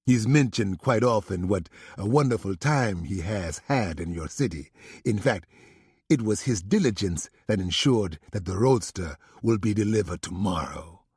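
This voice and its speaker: A raspy, jovial crime boss with a thick Mexican Spanish accent flatters his business partner, as a male narrator puts him on. His husky voice lifts a little above the narrator's. The audiobook recording is dry and close. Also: lowish voice, normal speed, dry recording.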